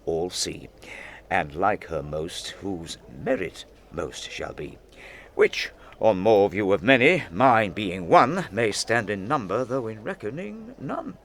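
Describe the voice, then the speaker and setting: An actor playing an old nobleman and doting father in a Shakespearean audio drama, speaking measured, declamatory verse. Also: fairly low pitch, sing-song, a bit bright, a little hiss or hum, exaggerated voice. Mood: gracious, persuasive.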